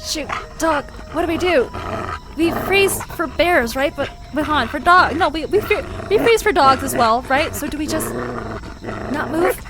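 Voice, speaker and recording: A woman plays a curious, anxious visitor in a fiction podcast. She has a fairly high voice and a questioning, easily flustered manner, set against clearly audible night insects. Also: lively intonation, normal speed, background noise. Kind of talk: fiction podcast audio drama acting.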